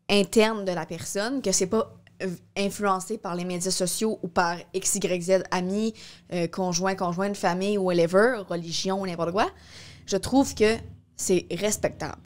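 Young quebec french woman, casual podcast talk, hesitant, tosses in english words, close studio mic. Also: medium pitch. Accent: Québécois French. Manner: informal, hesitant, peppers speech with English words. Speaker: podcast co-host. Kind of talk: casual discussion. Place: small indoor podcast studio. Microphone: close studio microphone on boom arm.

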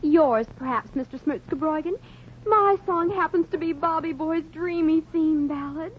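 Actress as snooty, swoony bobby-soxer, extremely high voice, affected diction, old radio comedy on a muffled recording. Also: female voice, moderate pace, natural voice. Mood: haughty. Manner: affected, haughty, stilted big words. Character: aloof, swooning, lovesick sixteen-year-old bobby-soxer girl. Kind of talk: 1940s radio comedy acting. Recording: dull, noisy 1940s radio recording.

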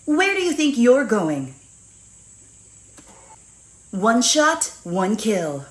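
A woman voicing a confident, deadly young female archer from a video game, crisp and taunting. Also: on the high side, sing-song, drawn-out words, reverberant, pitched above the performer's own voice, full character voice. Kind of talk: video game character lines.

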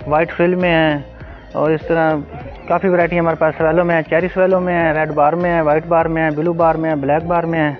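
A middle-aged man with a Pakistani accent talks informally in a flat, fairly high voice, repeating stock phrases. It sounds thin and phone-like. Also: fairly quick. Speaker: pigeon farmer. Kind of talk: informal showing of livestock. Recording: phone-like thin audio, faint music. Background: faint music, cooing pigeons.

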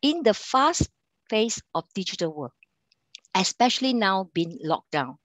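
A woman's voice: medium pitch, big pitch swings, a bit bright, no echo. Singaporean woman giving online sales training. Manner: halting delivery with frequent fillers and restarts, quizzing the audience. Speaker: account manager and sales trainer.

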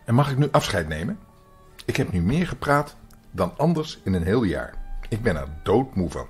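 A man's voice: on the low side, sing-song, normal speed, faint music behind, exaggerated voice. A man voicing a dignified, easily affronted female cat in a children's story, articulating very precisely and primly. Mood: weary disdain. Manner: prim, extra clearly articulated.

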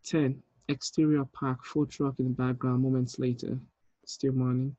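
A man with a dark, mellow voice reads stage directions in an even, barely varied tone. The sound is dry and dull, like a lo-fi video call. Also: medium pitch, normal speed, dry recording. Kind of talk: flat scripted narration. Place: room at home, indoors.

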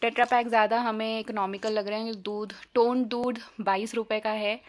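A woman's voice: on the high side, flat delivery, moderate pace, no echo. A woman travel vlogger chatting candidly and teasingly on a road trip, mixing Hindi and English. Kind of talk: casual vlog chat mixing Hindi and English. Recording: noisy outdoor ambience.

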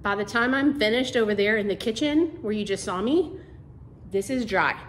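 A woman's voice: medium pitch, moderate pace, reverberant, slight background noise. A woman, chatting casually to camera about cleaning in her living room. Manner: chatty, homey, fillers like "like" and "um". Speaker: cleaning vlogger. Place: carpeted-free living room of a house, indoors.

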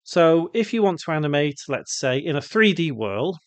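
A man presenting an unscripted software tutorial, explaining step by step and posing questions that he answers himself. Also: on the high side, big pitch swings, normal speed, no room sound.

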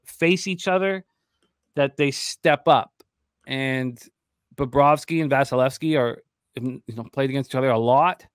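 A man's voice: on the high side, no room sound. A middle-aged man hosting a sports betting show, giving hockey preview commentary, often repeating words as he goes, on a close desk mic. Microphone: close desk microphone.

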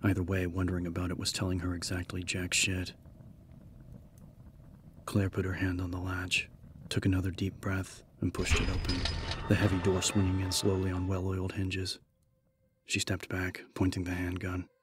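A man narrating a scripted horror story as an audiobook, measured and serious. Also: deep voice, completely flat delivery, a little hiss or hum.

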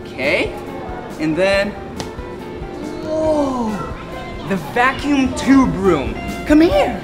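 A man's voice: very high voice, very animated, extremely slow. A man presenting playfully for toddlers, exclaiming in an exaggerated, wide-eyed way and drawing out words. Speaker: children's show host. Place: indoor and outdoor play areas of a children's museum. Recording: music behind the voice.

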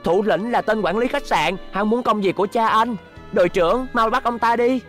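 A woman's voice: fairly high pitch, normal speed, soft background music, natural voice. A woman voicing a brave, earnest little robot boy in a dubbed cartoon, urgent and encouraging.

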